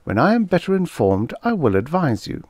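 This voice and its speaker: A man's voice: fairly high pitch, big pitch swings, fairly quick, darkish tone, no room sound, voice pushed up. A man voicing a prim, knowing eight-year-old girl who chants and speaks in precise formal phrases.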